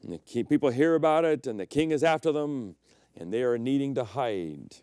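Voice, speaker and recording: A middle-aged man preaching a sermon as pastor, telling personal stories and swinging between loud emphatic peaks and quiet, low passages. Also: expressive, moderate pace, smooth, dry recording, studio quality. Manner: storytelling preacher, personal anecdotes.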